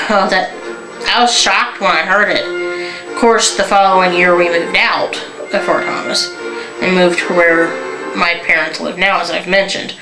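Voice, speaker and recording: A young adult woman from Northern Kentucky, telling local stories in a casual, halting vlog full of fillers and restarts. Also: fairly low pitch, reverberant, poor audio. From Northern Kentucky, USA. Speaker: vlogger. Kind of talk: casual rambling storytelling.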